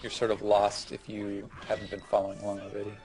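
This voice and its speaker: A man chatting casually about manga as a podcast co-host, in a hesitant, halting way. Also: lowish voice, monotone, a little mellow, faint music behind.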